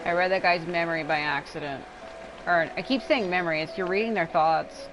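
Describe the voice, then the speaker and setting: Female game streamer, lower register, loose rambling commentary with music underneath. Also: brisk, poor audio. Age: adult. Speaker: livestreamer. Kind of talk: casual gameplay commentary. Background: video game music.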